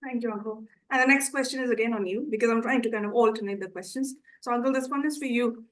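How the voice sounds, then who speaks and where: A woman with a croaky voice, hosting a formal community interview and introducing her guests politely. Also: fairly high pitch, fast. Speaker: interview host.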